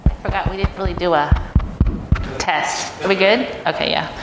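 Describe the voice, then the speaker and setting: A woman's voice: lowish voice, steady tone, normal speed. A middle-aged woman, a guest preacher, speaking in an informal, earnest, conversational way with personal anecdotes. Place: large echoey church room, live audience. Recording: echoey hall, clear background noise.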